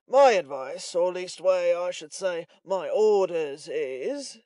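A man voicing a fat, pompous, cowardly head servant in an audiobook, self-important and halting. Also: high voice, expressive, darkish tone, no room sound. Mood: pompous unease.

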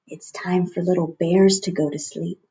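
A woman voicing a gentle, patient mother polar bear in a storybook, soft, soothing and unhurried. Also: lowish voice, fairly even intonation, breathy edge.

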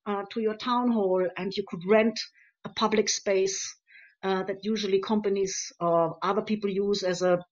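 A woman in her thirties talks through her slides in an earnest, encouraging way, pausing often on ums and uhs. Her voice sits at an ordinary middle pitch. The webcam audio is a little dull and lo-fi. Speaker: conference speaker on activism. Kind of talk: presenting slides in a webinar talk. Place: home room on a video call. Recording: slightly dull lo-fi video call audio.

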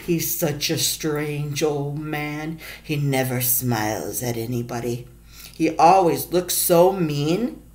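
A woman's voice: deep voice, slow pace, a little mellow. A woman voicing a timid, fearful young girl in a storybook read-aloud, speaking hesitantly.

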